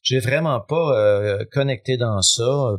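A man's voice: mid-range voice, slow pace. Laid-back Québécois man, rambling. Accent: Québécois French. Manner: relaxed, rambling, many fillers. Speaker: guest. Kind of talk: casual conversation.

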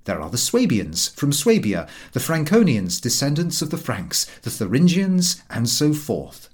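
Male audiobook narrator, highly animated pitch, slightly husky voice, wry scripted literary reading. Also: a little slow.

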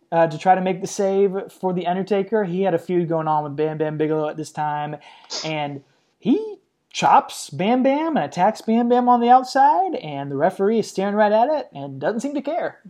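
A man's voice: high voice, big pitch swings, moderate pace, dark tone. A man co-hosting a podcast, casually recapping old matches with light laughs. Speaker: podcast co-host.

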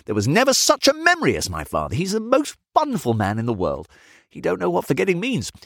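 A man's voice: on the high side, wildly swooping pitch, quick pace, creaky, dry recording, studio quality, pitched above the performer's own voice, full character voice. A man voicing an eager, agreeable male servant who talks fussily and emphatically, in a storybook reading. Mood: emphatic, admiring. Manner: emphatic, over-agreeing, uneducated grammar.

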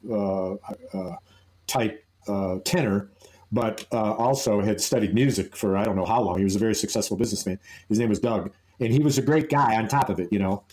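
Man, podcast guest, mellow, warm tone, rambling playful storyteller. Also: on the low side. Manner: playful, slips into silly put-on voices. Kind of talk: casual chat.

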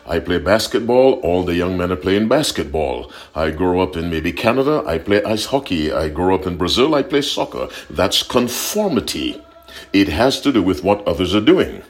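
Deep-voiced earnest man preaching. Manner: earnest, repeats key points for emphasis. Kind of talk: short broadcast devotional sermon.

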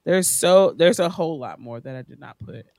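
Frank, lively woman in her late forties. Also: deep voice, brisk, no room sound, clean studio sound. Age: 40s. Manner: enthusiastic, frank, laughing asides. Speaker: podcast guest. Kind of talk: casual podcast chat. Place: at home.

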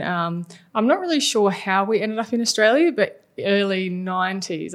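A woman who grew up in England, a guest on a podcast, telling her story casually with many fillers and hedges. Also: fairly low pitch. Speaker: guest, head of people and culture. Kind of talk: podcast interview.

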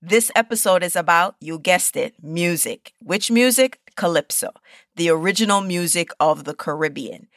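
A woman's voice: on the low side, normal speed, a bit bright, no echo, clean studio sound. A woman hosting a podcast, giving a scripted introduction to an episode. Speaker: podcast host.

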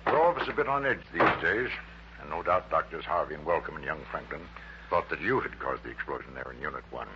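A pompous, grandiose male project chief in an old radio drama. His very deep voice swings widely as he speaks in formal, emphatic phrases. The recording is dull and faintly noisy. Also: expressive, fairly quick. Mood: formal, apologetic. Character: proud, grandiose male head of a rocket-fuel project. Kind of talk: 1960s radio drama acting. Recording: dull, humming early-1960s radio recording.

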